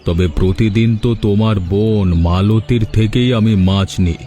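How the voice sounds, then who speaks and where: A man voicing an unhurried, well-off village gentleman in a cartoon. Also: on the low side, fairly even intonation, close to an everyday voice. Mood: calm, businesslike. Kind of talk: Bengali cartoon dialogue. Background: background music.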